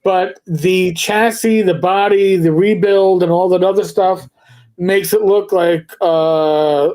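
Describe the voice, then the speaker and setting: A man co-hosting a livestream, chatting casually about tabletop games and spinning rambling anecdotes with slow, drawn-out emphasis. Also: sits high, fairly even intonation, a little mellow. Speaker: livestream co-host.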